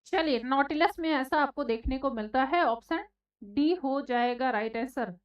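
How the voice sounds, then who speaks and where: A woman teaching a live online exam class, slightly hoarse from a sore throat, scolding her students and calling them beta and bhai. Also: high voice, moderate pace, no echo. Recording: dry, slightly dull online class audio.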